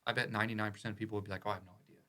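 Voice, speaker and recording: Low-voiced man in casual podcast talk. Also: level tone, very fast, a bit bright. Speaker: podcast guest, attorney. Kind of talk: casual opinionated podcast chat.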